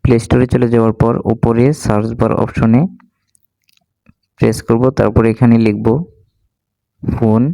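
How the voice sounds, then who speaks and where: Male tutorial host, very even intonation, soft dark tone, instructional step-by-step delivery. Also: mid-range voice, moderate pace, creaky, long pauses, dry recording, low-quality recording. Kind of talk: step-by-step screen tutorial narration.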